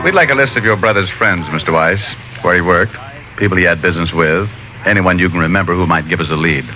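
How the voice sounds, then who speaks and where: Low-voiced laconic radio private detective man. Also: fast, pushed and bright, low-quality recording. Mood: probing, flat. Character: laconic, world-weary male private detective narrating his case. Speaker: lead actor and narrator. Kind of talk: 1950s radio detective drama acting. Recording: old radio recording with music behind.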